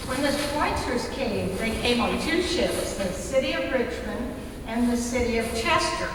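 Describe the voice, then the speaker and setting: A woman's voice: fairly high pitch, animated, unhurried. A woman narrating in a scripted stage storytelling style as a readers theater performer. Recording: echoey hall, distant mic, clear noise.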